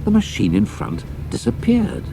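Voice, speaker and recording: A man's voice: fairly high pitch, huge pitch swings, normal speed, noisy background. A British man narrating a scripted adventure story as an audiobook, with precise storytelling delivery.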